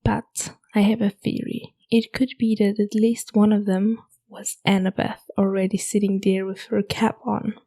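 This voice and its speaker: A young woman, hosting a podcast and chatting casually about a book in a hushed half-whisper, late at night at home. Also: medium pitch, level tone, moderate pace, few pauses. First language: German. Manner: hushed, half-whispered talk to avoid waking roommates. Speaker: podcast host.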